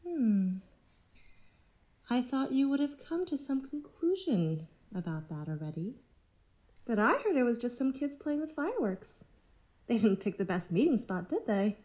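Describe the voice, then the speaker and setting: A manipulative female cult leader in an audio drama. Her voice is high and velvety soft, swooping through patronising, measured phrases like a controlling therapist. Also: sing-song. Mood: coolly evasive.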